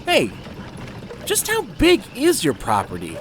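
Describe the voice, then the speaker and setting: A man acting in an audio drama as an eager, naive young intern with excitable, slangy talk. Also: sits high, takes it slowly, slight background noise, heavily acted. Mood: awed, enthusiastic.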